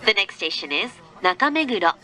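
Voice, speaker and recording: A woman reading scripted train announcements in a formal, measured, carefully enunciated manner. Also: medium pitch, sing-song, sharp tone. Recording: train announcement with faint vehicle noise. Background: moving train noise.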